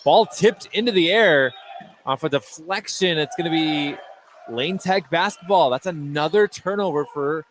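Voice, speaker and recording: A man's voice: on the high side, a little slow. A man doing live play-by-play commentary of a basketball game for a radio broadcast. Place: at courtside in an indoor gymnasium. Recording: live game broadcast over loud gym noise.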